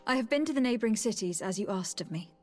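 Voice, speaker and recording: A woman plays a young noblewoman serving as envoy in a fantasy game cutscene. Her manner is measured, polite and loyal, at a middle pitch. Faint music sits behind the voice. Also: animated, lightly acted. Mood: composed, reporting. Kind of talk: video game cutscene acting.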